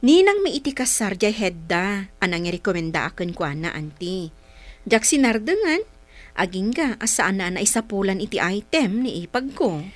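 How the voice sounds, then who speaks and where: Woman acting an earnest, animated young wife. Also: medium pitch, normal speed, dry recording, lightly acted. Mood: friendly, respectful. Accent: Ilocano. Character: polite, respectful young married woman, hurt by gossip. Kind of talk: Ilocano radio drama acting.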